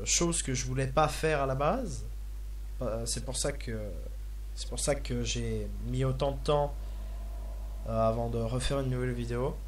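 A slightly hoarse young man hosting a casual video game let's play, hesitant and full of filler sounds. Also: medium pitch, slight background noise. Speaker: gaming video host.

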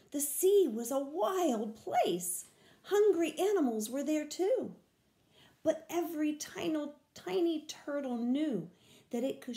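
Storyteller, older woman, slow expressive children's reading, slightly high mellow voice. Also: echoey room. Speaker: read-aloud storyteller. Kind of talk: children's picture-book reading. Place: small room at home, indoors.

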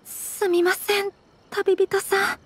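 A woman playing a refined, gentle young noblewoman in an anime game, speaking shyly in very polite, formal language. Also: extremely high pitch, little pitch movement, slow pace. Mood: flustered, apologetic. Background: soft background music.

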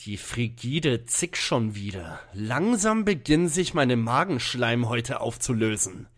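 Guy as a husky young detective, snarky and impatient, pitch moves around a lot, audio drama spoof. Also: sing-song, a little slow. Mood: snide. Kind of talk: parody detective radio play.

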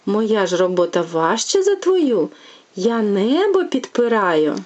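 A woman's voice: mid-range voice, sing-song, takes it slowly, darkish tone, slight background noise. A woman voicing a sly, lazy female fox in a children's storybook, coaxing and sweet-talking in a sing-song way.

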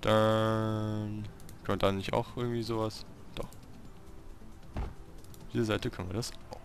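A man giving casual gameplay commentary, talking to himself in short, halting remarks. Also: lowish voice, level tone, vocal fry, a little hiss or hum. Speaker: let's player hosting a city-building game series.

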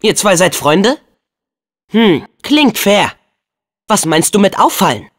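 Earnest teenage boy hero, animated. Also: sits high, takes it slowly, full character voice. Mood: calm, curious. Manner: youthful, emphatic, expressive delivery. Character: earnest, hot-headed teenage boy hero with a loyal heart. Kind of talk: dubbed video game acting.

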